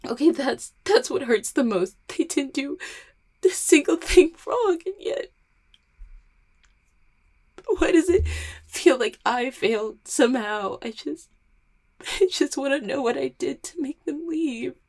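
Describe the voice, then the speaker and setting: A woman's voice: high-pitched, animated, a little mellow. A woman acting a guarded, heartbroken small-town mail carrier in an audio roleplay, stammering and blaming herself. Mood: sobbing, despairing. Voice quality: husky, creaky. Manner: halting, stammering, self-deprecating. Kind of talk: roleplay audio drama, intimate one-sided conversation.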